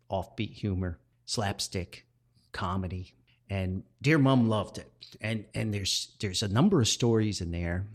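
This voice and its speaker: A man's voice: fairly low pitch, breathy edge. A middle-aged man hosting a podcast, telling nostalgic anecdotes in a casual, rambling way. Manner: rambling, chuckles often, nostalgic storytelling. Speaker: podcast host and author.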